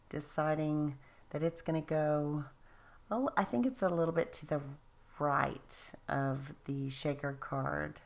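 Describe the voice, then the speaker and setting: A woman narrating her craft project in a casual voice-over, tired and a little raspy, chatty and self-deprecating. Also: sits low. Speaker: craft video host.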